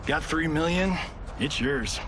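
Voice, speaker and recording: A man's voice: a little high, takes it slowly, background noise. An actor playing a cocky male movie-star fighter, sarcastic, joking and brash. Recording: game cutscene with music behind.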